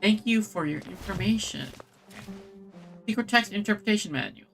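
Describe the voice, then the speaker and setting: A woman's voice: medium pitch, lively intonation, normal speed, gravelly, fairly bright tone. A woman streaming a video game, giving casual commentary and reading in-game text aloud. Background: game music.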